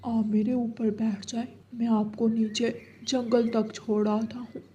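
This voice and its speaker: A woman voicing a kind, gentle male uncle cloud in a children's cartoon, with a soothing, polite manner. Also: fairly high pitch, level tone, a little slow, highs cut off, a little hiss or hum, lightly acted. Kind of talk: Hindi children's cartoon story acting.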